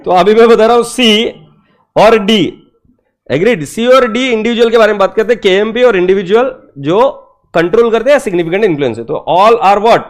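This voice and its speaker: A man's voice: high-pitched, a little fast. A man lecturing an accounting class, mixing Hindi and English and constantly firing rhetorical questions at his students. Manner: lively teacher who keeps quizzing students with rhetorical questions; teasing and playful with students.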